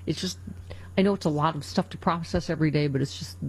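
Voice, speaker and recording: A woman's voice: low voice, fast talker, breathy edge, low-quality recording. A middle-aged woman hosting a political talk radio show, reading news items and joking sardonically between them. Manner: sardonic, laughs often, reads news items aloud.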